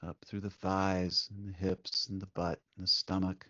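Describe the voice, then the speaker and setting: Low-voiced man guiding a meditation. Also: little pitch movement, no room sound, lo-fi sound. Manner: gentle, soothing, unhurried with long pauses. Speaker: meditation guide. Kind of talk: guided meditation, spoken instruction.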